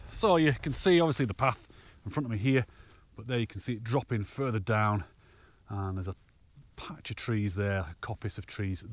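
A man, chatting cheerfully to camera while hiking on an open fell top, often laughing. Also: fairly low pitch. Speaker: hiking vlogger. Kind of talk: casual walking commentary to camera.

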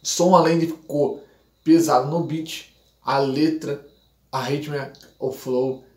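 A Brazilian man hosting a react channel, talking fast and hyped with lots of slang. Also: fairly high pitch, fairly even intonation, darkish tone. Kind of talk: hyped channel intro and song review. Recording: roomy echo.

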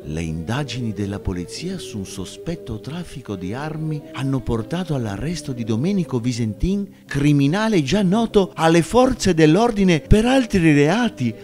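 An actor playing an excitable, anxious male tango maestro in a radio drama, with a Spanish accent and a melodramatic manner. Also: fairly high pitch, normal speed, hardly any pauses, over music. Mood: grumbling. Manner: melodramatic, mixing in Spanish words.

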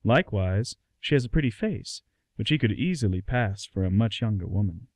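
A man giving a dramatic scripted narration with deliberate, suspenseful phrasing. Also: lowish voice, animated, a little mellow, no room sound.

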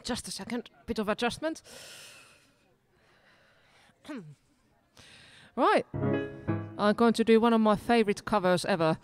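Finnish-accented woman, high voice, chatty and joking with the crowd, outdoor pub garden mic. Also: animated, faint music behind. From Finland. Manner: chatty, self-deprecating, quick asides. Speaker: singer-pianist. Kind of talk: casual stage chat between songs. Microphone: stand mic. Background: live keyboard.

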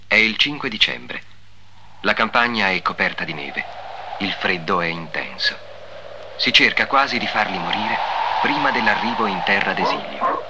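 A man's voice: fairly low pitch, lively intonation, moderate pace, noisy background. A man giving a formal, solemn scripted narration in the style of the 1950s.

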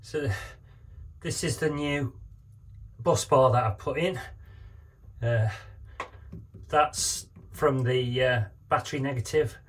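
Man, 50s, boat vlogger, slow easygoing delivery, mid-pitched, lots of ums, phone-camera sound in a cramped cabin. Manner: relaxed, hesitant, many fillers. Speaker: sailing vlogger. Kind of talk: casual how-to vlog. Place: small boat cabin, indoors. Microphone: handheld phone camera.

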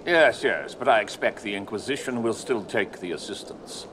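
A man's voice: lowish voice, lively intonation, normal speed, noisy background, natural voice. An actor playing a pompous, fussy gossiping nobleman in a video game, with a haughty, ingratiating theatrical delivery. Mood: haughty, prying. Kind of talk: dramatic video game dialogue.

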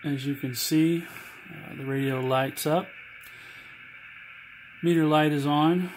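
A man giving an informal, halting, matter-of-fact product demonstration at an indoor workbench. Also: fairly high pitch, fairly even intonation. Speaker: seller demonstrating a CB radio. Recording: loud radio static, noisy amateur recording. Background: CB radio receiver noise.

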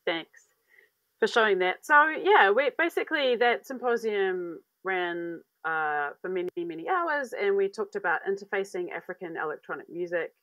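A woman in her thirties from New Zealand giving a conference talk over a video call from a room at home, relaxed and searching for words with many fillers. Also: mid-range voice, moderate pace, dry recording. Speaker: academic speaker. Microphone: earphone mic on video call. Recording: dry, dull video-call audio.

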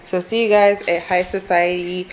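A woman's voice: fairly low pitch, steady tone, normal speed, soft background music, poor audio. A young woman chatting casually and informally to camera in a slangy vlog style. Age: young adult. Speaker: vlogger.

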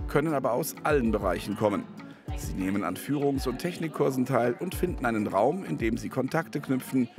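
A man narrates a news feature in a polished voice-over, his pitch moving with a lively lilt. A clear music bed plays under his words. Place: studio voice-over.

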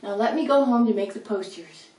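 A woman's voice: on the high side, fairly quick, dark tone, lightly acted. A woman voicing a cheerful, bossy male red rat who talks in upbeat rhymes, recorded in a roomy space a little off the mic. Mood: coaxing. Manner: upbeat, rhyming, coaxing. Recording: roomy, slightly distant mic.

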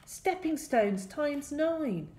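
Woman playing a high-voiced brave boy hero. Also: very animated, very slow, dark tone, full character voice. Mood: commanding. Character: brave, urgent young boy hero of a storybook.

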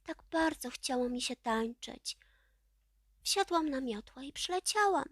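Woman as an eager, high-voiced little girl witch. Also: lively intonation, a little slow, dry recording, studio quality. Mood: timid. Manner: pleading, earnest.

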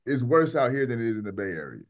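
A man's voice: medium pitch, very animated, fast talker. A man runs a class discussion over a video call. He talks in a loose, conversational way, repeats his words and presses students with pointed questions. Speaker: lecturer.

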